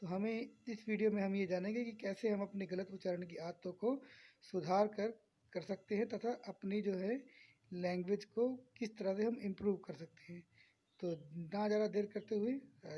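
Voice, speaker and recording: Slow-paced Indian woman teaching. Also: medium pitch, breathy edge, lo-fi sound. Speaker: English teacher. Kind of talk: instructional lesson, explaining step by step.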